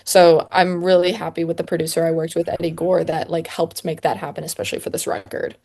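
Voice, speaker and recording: A woman's voice: low voice, flat delivery, fast talker, darkish tone. A woman, a pop singer, chatting casually as an interview guest in informal speech peppered with "like".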